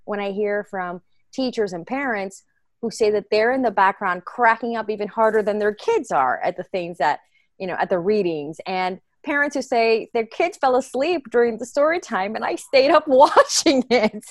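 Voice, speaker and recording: An earnest, expressive woman answers interview questions, laughing easily as she talks. Her voice sits in the middle for a woman. The sound is dry and close. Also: mid-range voice, normal speed, dry recording. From United States. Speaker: guest, children's book reader.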